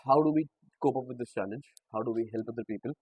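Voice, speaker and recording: A man from Pakistan hosts a podcast, asking questions in a polite, deferential way. His voice sits at a middle pitch. The recording is dry. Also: fast talker, slightly rough, dry recording, lo-fi sound. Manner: polite and deferential, addresses guest as sir. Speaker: podcast host. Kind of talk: interview questions.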